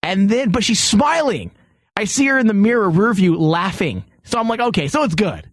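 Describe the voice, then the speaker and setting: A man with a somewhat high voice hosts an uncensored comedy show. He chats casually and tells stories in an animated way. The recording is dry, with very little room. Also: normal speed, no room sound. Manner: animated storytelling, acting out quoted exchanges.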